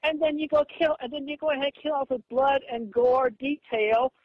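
A woman on a lo-fi phone call, complaining in a halting, repetitive way with stiffly formal wording. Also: sits high. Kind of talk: aggrieved phone conversation. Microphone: telephone. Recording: dull, lo-fi phone line.

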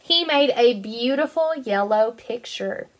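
A woman in her twenties, a kindergarten teacher reading a picture book aloud to young children in an animated, sing-song way. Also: on the high side, unhurried. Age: 20s.

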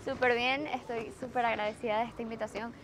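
A young Venezuelan woman with a bright, high voice chats in a bubbly way as an interview guest. She talks outdoors on a boat at a marina, picked up by the camera from a short way off. A faint wash of outdoor ambience sits under her voice. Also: fairly even intonation, quick pace, a little hiss or hum. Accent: Venezuelan Spanish. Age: young adult. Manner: bubbly, mixes English into Spanish. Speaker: singer-songwriter guest. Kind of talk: casual interview chat.

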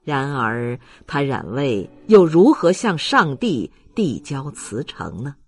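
Slow, expressive male audiobook narrator. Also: mid-range voice, breathy edge. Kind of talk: scripted literary narration.

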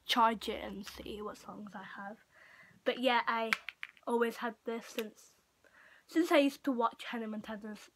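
A teenage girl from Portugal vlogging casually to camera in a room at home, talking in a halting way full of "like". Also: fairly high pitch, fairly bright tone. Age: teenager, about 15. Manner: halting, frequent "like" and trailing off. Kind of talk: casual chatty show-and-tell to camera. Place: small room at home, indoors. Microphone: built-in device mic at arm's length or a bit further.

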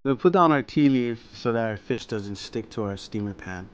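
Relaxed man with slightly muffled sound. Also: mid-range voice, moderate pace. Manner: relaxed, friendly, explains as he works. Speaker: cooking channel co-host. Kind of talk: casual cooking instructions.

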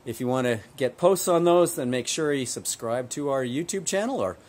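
A man in his fifties giving a casual walkthrough of a construction site to a handheld camera, relaxed and upbeat with frequent filler words. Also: medium pitch, normal speed. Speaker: builder giving a site tour. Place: outdoor construction site, then inside a framed house. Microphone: phone or camera held at arm's length.

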